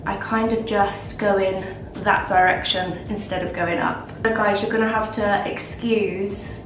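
A woman's voice: mid-range voice, fairly even intonation, unhurried. A young woman vlogging a makeup routine in her bathroom, chatty and gushing, sounding nasal, congested and a bit out of breath. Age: young adult. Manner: chatty, gushing, many fillers. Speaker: lifestyle vlogger. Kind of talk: casual makeup tutorial chat. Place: home bathroom, indoors. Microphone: camera a little way off. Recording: roomy bathroom echo, distant mic, background hiss.

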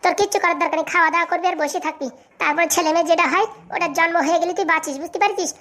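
Woman voicing a high-pitched cocky young schemer. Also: steady tone, very fast, fairly bright tone. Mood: bossy, menacing. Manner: brash, bossy, boastful. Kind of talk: comic cartoon dialogue.

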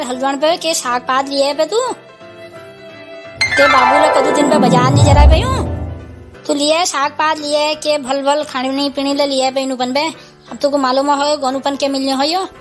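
A woman voicing an exasperated, scolding village mother with a Kumaoni accent in an amateur cartoon comedy. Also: sits high.

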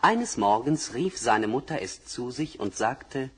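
A man narrating a fairy tale for an old record, measured and expressive. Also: medium pitch, husky. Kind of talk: storybook narration. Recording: vinyl record, faint hiss.

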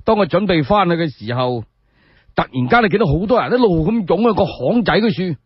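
Male Cantonese radio storyteller, higher-pitched and colloquial, very lively delivery, slightly muffled recording. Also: a little fast, husky, dry recording. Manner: colloquial, dramatic. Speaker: solo storyteller. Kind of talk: animated oral storytelling of historical tales for radio.

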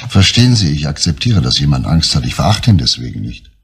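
An actor playing a composed, earnest police inspector in a German crime radio drama, speaking deliberately and insistently. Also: male voice, extremely low pitch, sing-song, gravelly, breathy edge, exaggerated voice.

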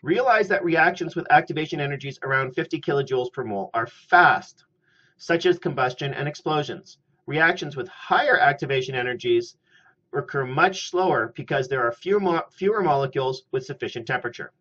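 A middle-aged man giving a scripted chemistry lecture at a steady, methodical pace, recorded on a webcam in a small room. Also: fairly high pitch. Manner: measured, methodical explaining. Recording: slightly muffled lo-fi audio.

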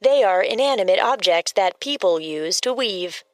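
Deadpan woman reading facts, dry studio sound. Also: medium pitch, normal speed, dry recording. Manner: deadpan, literal, precise. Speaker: fact-giving co-narrator. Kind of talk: scripted encyclopedic asides.

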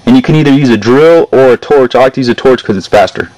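A man's voice: medium pitch, fast, darkish tone. A young man giving an informal, step-by-step how-to narration outdoors, recorded on a camera mic. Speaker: hobby metalworker demonstrating. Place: outdoor work yard. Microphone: camera mic a short distance away. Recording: noisy outdoor camcorder audio.

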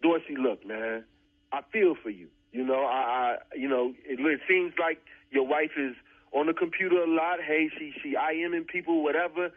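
Exasperated man, high-pitched, on phone. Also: moderate pace. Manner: exasperated, repetitive. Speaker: prank call recipient. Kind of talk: informal phone argument. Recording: muffled phone line, radio broadcast.

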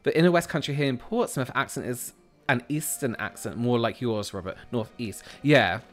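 A man's voice: moderate pace, soft background music. A man in his thirties with a South London accent, chatting casually with his viewers while he plays a game on a headset mic at home. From England. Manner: chatty, animated, jumps into a high squeal when excited. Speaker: streamer. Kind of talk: casual chat while gaming, reading chat aloud. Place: small room at home. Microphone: headset with boom mic.

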